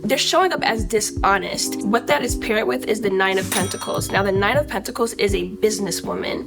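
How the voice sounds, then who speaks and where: A woman's voice: medium pitch, a little fast, gravelly, music in the background. A woman hosting as a tarot reader, explaining things conversationally and addressing the listener directly.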